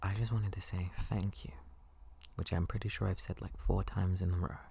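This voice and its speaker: A man voicing an excitable, affectionate young college best friend in an intimate ASMR roleplay, playful and prone to gushing rambles. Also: deep voice, moderate pace, gravelly, slightly rough, exaggerated voice. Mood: excited, grateful.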